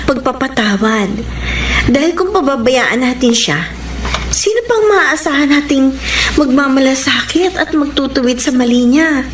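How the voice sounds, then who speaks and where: An actress playing a kind, earnest, forgiving Filipino friend in a radio romance drama. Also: female voice, high-pitched, echoey room, background noise.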